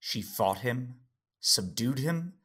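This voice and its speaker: A man's voice: mid-range voice, expressive, takes it slowly, fairly bright tone, slightly rough, heavily acted. An actor playing a patient, cryptic man in a science fiction audio drama. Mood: resigned.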